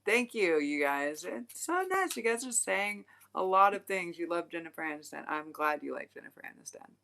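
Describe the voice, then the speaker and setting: A woman's voice: on the low side, animated, moderate pace, gravelly, pushed and bright. A woman doing a casual tarot reading on a livestream, talking in a frank, rambling way with lots of fillers and casual swearing. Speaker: tarot reader.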